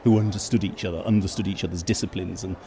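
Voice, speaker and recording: A man's voice: deep voice, steady tone, fast talker, warm tone, slight background noise. A man giving fluent, explanatory answers as an interview guest. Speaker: theatre company chief executive, interview guest. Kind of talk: backstage interview. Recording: busy event with crowd background.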